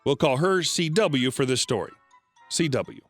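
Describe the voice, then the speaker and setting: A man narrating reddit stories in a scripted read, flat and even with sudden pitch jumps. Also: measured pace, soft background music.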